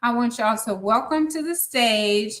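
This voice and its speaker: A woman's voice: fairly high pitch, measured pace, a bit bright, slight background noise. A woman in her fifties hosting a live podcast interview, chatty and encouraging. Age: 50s. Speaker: podcast host.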